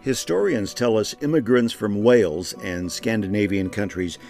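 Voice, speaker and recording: A man's voice: fairly low pitch, moderate pace, gravelly, darkish tone. A man narrating a scripted documentary in a folksy, conversational storytelling way. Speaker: narrator and host. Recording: music behind narration.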